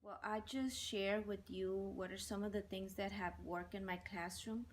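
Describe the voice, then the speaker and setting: Measured woman, Spanish native speaker. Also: medium pitch, fairly even intonation. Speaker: bilingual ESL teacher. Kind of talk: interview answers.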